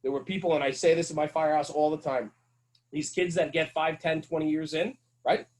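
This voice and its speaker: Man, middle-aged, emphatic, earnest, repeats himself for stress, slightly high-pitched. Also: steady tone, a little fast, darkish tone. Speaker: guest, firefighter and fire-service radio host. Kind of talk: casual podcast interview.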